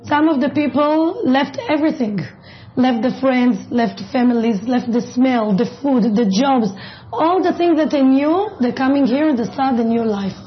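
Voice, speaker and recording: A woman's voice: high voice, moderate pace, dull audio, a little hiss or hum. A woman interviewed for the news, speaking emphatically as she lists things. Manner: emphatic, listing.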